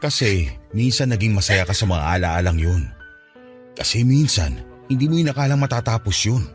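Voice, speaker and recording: A man's voice: on the low side, lively intonation, moderate pace, lightly acted. A man acting a devoted, protective friend in love, earnest and emotional, in a Filipino radio drama. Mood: tender, aching. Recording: music bed behind the voice.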